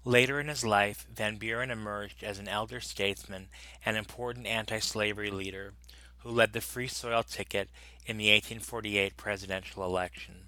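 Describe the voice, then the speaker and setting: A man reading an encyclopedia article aloud in a steady, plain narration that sometimes stumbles and corrects itself. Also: lowish voice, moderate pace, dry recording, low-quality recording. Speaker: volunteer reader of an encyclopedia article.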